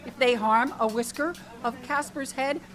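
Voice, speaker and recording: An actress playing a sardonic, down-on-her-luck waitress in a comedic radio drama, quick-witted, dry and exasperated. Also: sits high, fairly even intonation, fairly bright tone. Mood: indignant, defiant.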